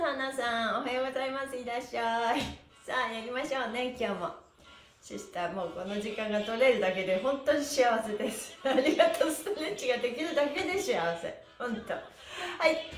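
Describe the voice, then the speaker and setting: A middle-aged woman, cheerful and very polite, leading an upbeat exercise livestream as the instructor, chatting and counting in a small room a short way from the mic. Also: on the high side. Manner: cheerful, smiling, very polite with honorifics. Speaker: stretching instructor and livestream host. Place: small indoor room, on a mat. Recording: echoey room with faint music.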